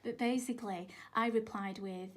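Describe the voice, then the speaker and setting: A woman yoga teacher and life coach from England, speaking earnestly as a radio guest with hesitant restarts and soft asides. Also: fairly high pitch, fairly even intonation, moderate pace.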